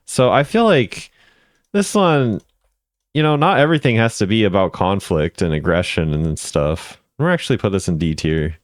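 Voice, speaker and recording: Smooth, low-voiced man giving casual commentary. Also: expressive, normal speed, no room sound, studio quality. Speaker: video host ranking game voice lines.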